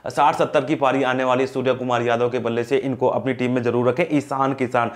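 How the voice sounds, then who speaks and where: A man's voice: medium pitch, little pitch movement, fairly quick. Young male cricket video host, chatty and direct, lapel mic, small studio. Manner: chatty, addresses viewers as brothers. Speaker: cricket fantasy team predictor. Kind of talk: casual cricket analysis to camera. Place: small indoor studio, grey backdrop.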